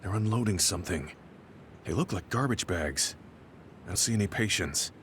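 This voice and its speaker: A man playing a stoic, skeptical veteran soldier in a video game, with a grave, measured delivery. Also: sits low, lively intonation, a bit bright, a little hiss or hum. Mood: suspicious, grave. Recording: music behind dialogue. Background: game soundtrack music.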